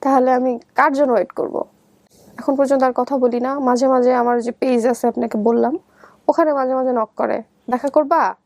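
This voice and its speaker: Young woman, Bangladeshi accent, high pitch, dry recording, personal interview storytelling, conversational, re-enacted dialogue. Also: fairly even intonation, moderate pace, a little mellow, dry recording. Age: young adult. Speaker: guest telling her life story.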